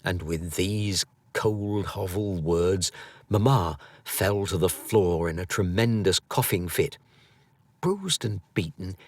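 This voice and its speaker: Male audiobook narrator, lowish voice, unhurried, theatrical delivery, dry studio sound. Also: no room sound. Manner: theatrical, expressive storytelling. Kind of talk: scripted storybook narration.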